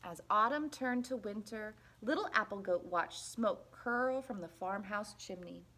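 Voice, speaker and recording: A woman reading a children's picture book aloud in an expressive, deliberate, playful storytelling manner. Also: mid-range voice, slight background noise. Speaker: storybook reader at a library.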